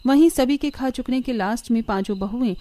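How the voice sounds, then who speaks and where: A woman's voice: fairly high pitch, fairly quick, warm tone, dry recording. A woman reading scripted story narration for a family cartoon.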